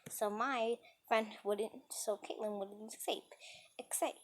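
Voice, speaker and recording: A woman's voice: on the high side, steady tone, slow pace. A woman casually telling an improvised story, rambling and repeating phrases as she dramatically retells who said what. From New York City, United States. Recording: noisy amateur home recording.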